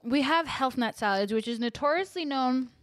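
Bubbly host in her twenties, high bright voice, close dry mic. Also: lively intonation, moderate pace, dry recording. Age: 20s. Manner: bubbly, giggly. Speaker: podcast host. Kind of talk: casual chat.

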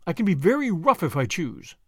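A menacing villainess, played by a man, high and swooping in pitch. She is cold and imperious, issuing commands with a mocking sneer in an audiobook thriller. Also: sing-song, brisk, a bit bright, breathy edge, dry recording, clean studio sound, heavily acted. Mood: impatient, scornful. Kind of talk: audiobook character acting.

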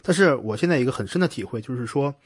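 Fast-talking man with a husky voice. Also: medium pitch, animated, no room sound, studio quality. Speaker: podcast host. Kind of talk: casual podcast conversation.

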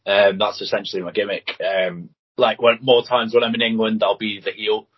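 A man's voice: on the low side, normal speed, breathy edge. A young man from Anglesey with a Welsh accent, whose first language is Welsh, chatting casually as a guest wrestler with many fillers. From Anglesey, Wales. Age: young adult. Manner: relaxed, lots of fillers and "like". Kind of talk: casual interview chat. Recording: dull lo-fi audio.

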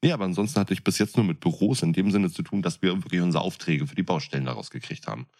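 A man's voice: low voice, expressive, racing, darkish tone. A man in his thirties chatting casually as a podcast co-host, with a blunt, colloquial way of talking. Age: 30s. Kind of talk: casual chat.

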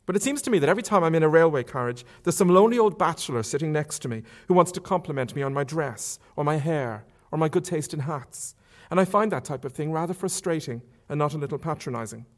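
A man's voice: fairly high pitch, expressive, fast, few pauses, voice pushed up, close to an everyday voice. A man reading aloud who voices a forthright, chatty young woman with a lifted, lilting tone and emphatic questions. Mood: teasing. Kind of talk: author reading a novel aloud.

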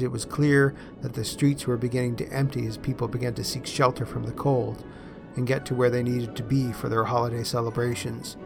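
A man's voice: medium pitch, vocal fry, a bit bright. A man reading a story aloud as scripted, dramatised narration. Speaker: guest story reader. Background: music and added sound effects.

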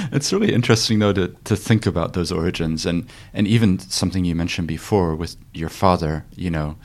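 A man in his forties hosts a conversational podcast, asking curious, searching questions that he sometimes stumbles into. His voice sits very low. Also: a little fast, darkish tone. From New Zealand. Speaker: podcast host. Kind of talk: casual conversational interview.

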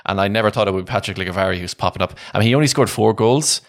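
A man's voice: fairly low pitch, very fast. A man chatting casually on a sports podcast, repeating phrases for emphasis.

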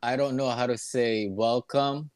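A man's voice: medium pitch, fairly even intonation, a little slow, no room sound, studio quality. A man from Kansas City, Kansas, chatting as a podcast guest in an easygoing, hesitant way. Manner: easygoing, hesitant, laughs often. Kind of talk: casual interview chat.

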